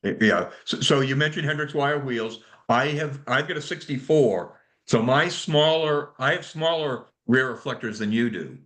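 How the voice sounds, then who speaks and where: A man moderating a club technical call in a casual, halting way, often repeating words as he goes. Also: medium pitch, fairly bright tone. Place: remote conference call. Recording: conference call audio.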